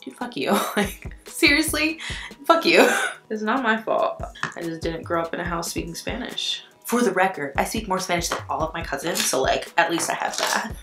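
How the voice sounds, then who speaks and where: A woman's voice: on the low side, expressive, normal speed, a bit bright. A woman in her twenties chatting casually while doing her makeup at home, saying "like" constantly and swearing freely. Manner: chatty, profane, says "like" constantly. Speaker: beauty vlogger. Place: at home indoors. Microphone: camera mic. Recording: echoey room, faint background music.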